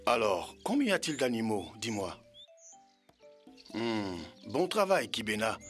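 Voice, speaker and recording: Kindly old village grandpa in a cartoon, teaching the young, music loud behind him. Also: male voice, fairly low pitch, big pitch swings, unhurried. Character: kindly elderly male village elder. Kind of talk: cartoon dub acting.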